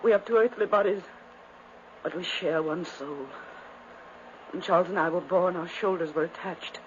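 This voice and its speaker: An actress playing a dying, delirious twin sister, intense and pleading in 1950s radio drama style. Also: female voice, fairly low pitch, normal speed, lightly acted. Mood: bleak, haunted. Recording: muffled, hissy 1950s radio recording.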